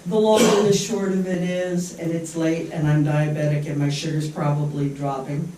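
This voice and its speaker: A man's voice: fairly high pitch, level tone, normal speed, dark tone, a little hiss or hum. An older man giving a rambling, sardonic public comment at a town meeting. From born in Japan. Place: large echoey meeting room. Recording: echoey hall from far off.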